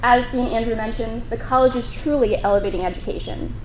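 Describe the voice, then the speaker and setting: A woman's voice: fairly high pitch, brisk, some chatter behind, slight background noise. A woman on a college's staff giving a formal, proud prepared speech and introducing a student at a breakfast event. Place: large banquet room. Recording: echoey hall, faint ambience.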